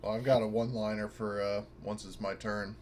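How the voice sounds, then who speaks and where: A man's voice: fairly low pitch, level tone, fairly quick, a crowd in the background. A man playing a tabletop game, chatting casually and bluntly with plenty of swearing. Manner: foul-mouthed, blunt. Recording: clear background noise and ambience.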